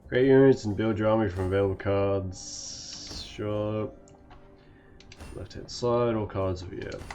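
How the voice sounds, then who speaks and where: Low-voiced male streamer, gravelly from sickness, casual commentary, faint music. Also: a little mellow. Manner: casual, reads tutorial text aloud, laughs often. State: sick, gravelly voice. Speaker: game streamer. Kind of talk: casual let's-play commentary.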